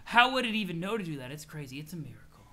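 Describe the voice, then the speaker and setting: A man's voice: a little high, very animated, rapid-fire, sharp tone, voice pushed down, heavily acted. A man voicing a laid-back, spiritual stoner troll who rambles and swears in dreamy wonder. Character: mellow, spiritual stoner male troll, awed by everything.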